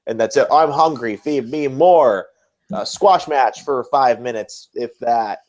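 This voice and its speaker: Man, podcast co-host, casual wrestling chat, medium pitch, blunt, critical, repeats himself for emphasis. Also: measured pace, a little mellow.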